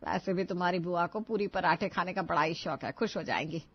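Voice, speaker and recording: A woman's voice: on the low side, fairly quick, husky. A woman playing an easygoing, chatty older mother-in-law in a family cartoon. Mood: casual, chatty. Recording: dull lo-fi audio.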